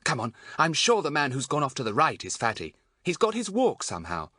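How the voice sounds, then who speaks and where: A man's voice: medium pitch, huge pitch swings, brisk, sharp tone, no echo, studio quality, pitched above the performer's own voice, exaggerated voice. A man voicing a sensible, scolding schoolgirl with a matter-of-fact, reproving manner in a storybook. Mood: sure.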